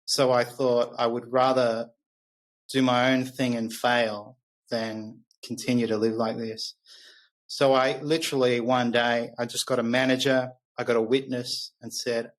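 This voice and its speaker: A man's voice: medium pitch, poor audio. A middle-aged man with an Australian accent telling personal stories conversationally as a podcast guest, candid and reflective.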